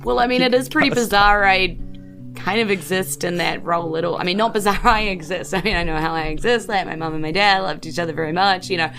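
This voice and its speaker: A woman's voice: fairly low pitch, fast, a bit bright, soft background music. A woman playing a laid-back, naive young female farm guard in improvised tabletop roleplay, talking in a hesitant, vague, rambling way. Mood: puzzled, earnest.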